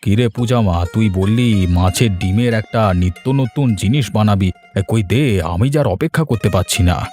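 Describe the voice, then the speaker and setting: A man's voice: lowish voice, normal speed, warm tone, faint music behind, natural voice. A man voicing a blunt, authoritative village headman in a cartoon. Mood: pleased, hearty. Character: stern, bossy male village headman who loves food. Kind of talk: Bengali cartoon voice acting.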